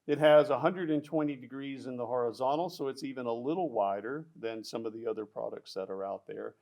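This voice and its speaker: A man explaining loudspeaker designs in a technical product discussion, halting with fillers and restarts. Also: mid-range voice, vocal fry. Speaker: audio product specialist.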